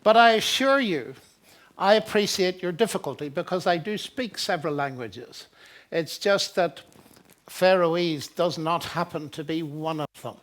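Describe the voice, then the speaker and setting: A man's voice: a little high, expressive, unhurried, fairly bright tone. An older man from Northern Ireland with a Northern Irish accent, giving a public lecture with genial, self-deprecating humor. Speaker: guest lecturer. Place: hotel lecture hall with a large audience.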